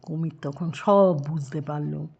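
Slow-paced grandmotherly woman storyteller. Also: deep voice, gravelly, dark tone, husky, muffled recording. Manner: grandmotherly, explains words, questions listeners. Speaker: children's storyteller. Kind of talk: storytelling to young children.

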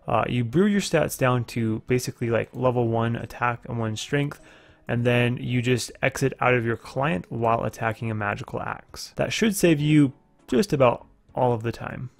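Gravelly young man narrating a game guide. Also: medium pitch, normal speed. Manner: plain, matter-of-fact, with filler uhs. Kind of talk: voice-over tutorial narration. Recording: faint background music.

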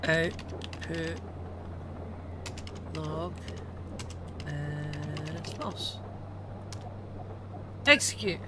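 Slow-talking woman streamer, swearing. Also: low-pitched, steady tone, heavy background noise. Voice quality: muttering, swearing, reads commands aloud. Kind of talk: live gameplay commentary.

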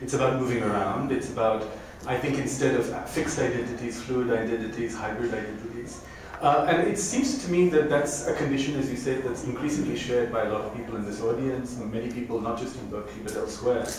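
A man's voice: mid-range voice, steady tone, brisk, hardly any pauses. A man in his fifties moderates, building his questions with a thoughtful, academic air. He speaks into a handheld mic on a stage. The recording is distant and echoey, with a faint hiss. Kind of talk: interview questions. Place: lecture room on a stage. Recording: echoey room, recorded from afar, faint noise.

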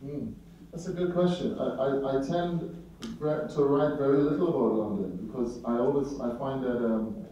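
A man's voice: mid-range voice, moderate pace, warm tone. Man, middle-aged, thoughtful hesitant delivery, roomy distant recording, slightly muffled sound. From Trinidad. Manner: reflective, searching for words, frequent "you know". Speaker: poet and novelist, guest speaker. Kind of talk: answering audience questions at a talk. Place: seminar room in a college. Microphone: handheld mic. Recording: echoey room picked up from afar, slightly dull.

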